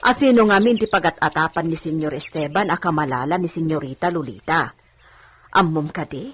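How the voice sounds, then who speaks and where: An older village servant woman in a radio drama. Her husky voice is earnest and exclaiming, anxious and persistent as she coaxes. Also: deep voice, brisk. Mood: anxious, urging.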